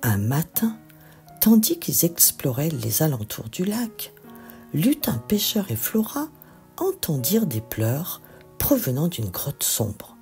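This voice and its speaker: A woman reading a scripted children's bedtime story in an expressive, deliberate narrating style. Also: sits low, faint music behind.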